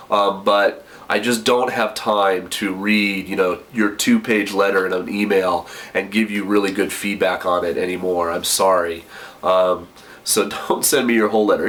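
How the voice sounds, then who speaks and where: A man's voice: fairly low pitch, a bit bright. A young man with a cold, sounding a bit nasal, giving chatty application advice in his kitchen with lots of ums. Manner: chatty, many ums and self-corrections. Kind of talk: casual advice vlog.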